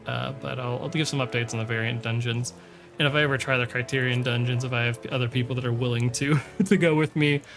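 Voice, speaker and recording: A man giving rambling, casual commentary over his gameplay, with frequent ums and restarts. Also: medium pitch. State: sick with a cold, voice going. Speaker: gaming video creator.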